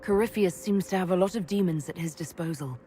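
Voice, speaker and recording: A woman's voice: lowish voice, fast, warm tone, a little hiss or hum, natural voice. A woman playing a businesslike hero in a fantasy video game, speaking in a direct, questioning way.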